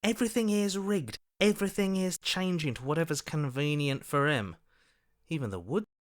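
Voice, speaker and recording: An actor playing a cynical, distrustful inner voice in a video game, bitter and sarcastic. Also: male voice, a little high, normal speed, close to an everyday voice. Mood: bitter, suspicious. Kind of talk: scripted game voice acting.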